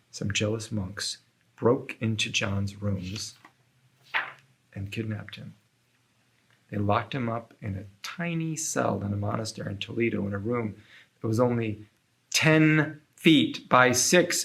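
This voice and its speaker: A man's voice: medium pitch, creaky, a bit bright. Man reading a children's story aloud. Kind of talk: scripted children's story narration.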